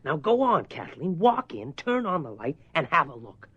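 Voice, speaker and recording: An actor playing a coaxing husband in a radio drama, hushed, evasive and insistent. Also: male voice, on the high side, sing-song, moderate pace, breathy edge, no echo, lo-fi sound, heavily acted. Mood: quietly insistent. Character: secretive, coaxing husband with a mysterious surprise. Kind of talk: naturalistic radio drama acting.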